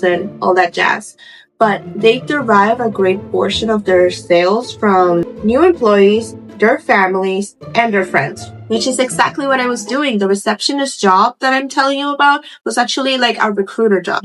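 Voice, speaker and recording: A woman, telling stories casually to camera in an animated, conversational way full of asides. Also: mid-range voice, rough recording. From Puerto Rico. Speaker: host of a storytime video channel. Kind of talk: casual chatty storytelling to camera.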